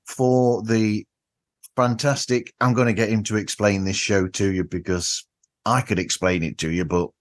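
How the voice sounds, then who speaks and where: Man, podcast host, deep-ish voice, casual interviewing, effusive, halting delivery. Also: fairly even intonation.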